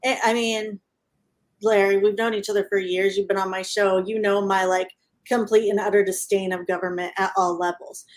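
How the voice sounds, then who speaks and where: A woman in her thirties speaking as a guest in a casual panel discussion, halting and rephrasing as she goes. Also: medium pitch, flat delivery.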